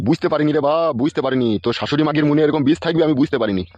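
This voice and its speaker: A man's voice: fairly high pitch, rapid-fire, darkish tone, dry recording, muffled recording, close to an everyday voice. A man voicing an older, self-pitying father-in-law in a comic cartoon, crude and indignant. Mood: lamenting.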